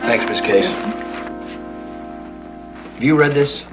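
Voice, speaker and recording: An actor playing a cool-headed newspaper publisher, speaking in a clipped, commanding way in a 1960s TV crime drama. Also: male voice, medium pitch, takes it slowly, muffled recording, natural voice. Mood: puzzled. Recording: vintage TV soundtrack, faint music.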